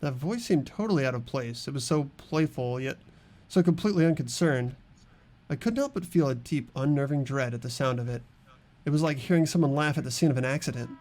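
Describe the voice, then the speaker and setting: A man's voice: medium pitch, expressive, fairly quick, a little mellow, close to an everyday voice. A man playing an ordinary, earnest and uneasy teenage schoolboy narrating a fan-voiced game. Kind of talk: amateur voiced visual novel narration and dialogue.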